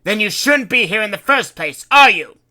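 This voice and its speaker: A woman's voice: low-pitched, expressive, moderate pace, bright, forceful tone, voice pushed up. A woman voicing a bossy man who leads a ship's crew and demands to be obeyed. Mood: stern. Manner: pushy, demanding. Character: bossy adult man, ship's expedition leader.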